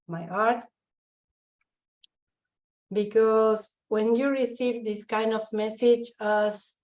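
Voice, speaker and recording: A woman from Argentina with an Argentine Spanish accent, a visual artist giving a halting presentation on a video call, searching for her words in English. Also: medium pitch, a little mellow. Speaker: guest speaker, visual artist. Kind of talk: online summit presentation.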